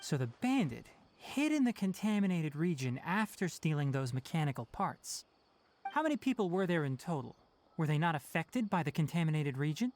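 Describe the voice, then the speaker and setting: A man playing a brisk young forest ranger in a video game, blunt, analytical and articulate. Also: fairly high pitch, very animated, darkish tone, a little hiss or hum. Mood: skeptical, pointed. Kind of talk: video game character acting.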